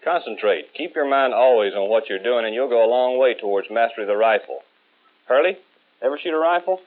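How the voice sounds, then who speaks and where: Male actor as authoritative American drill-range instructor, scripted lecture, mid pitch, phone-like vintage film audio. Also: lively intonation, brisk, close to an everyday voice. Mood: brisk, questioning. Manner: emphatic, authoritative. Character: firm, methodical male Marine rifle instructor. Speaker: military marksmanship instructor. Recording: tinny old 1960s film soundtrack.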